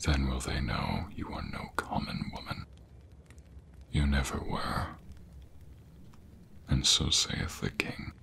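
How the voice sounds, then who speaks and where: Man acting very deep, whispering, lovestruck king. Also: fairly even intonation, bright, forceful tone, exaggerated voice. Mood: solemn, devoted. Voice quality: breathy, gravelly, very deep murmur. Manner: slow, formal, archaic courtly phrasing, tender. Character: devoted young king secretly in love with a commoner. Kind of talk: romantic ASMR roleplay, intimate whispering.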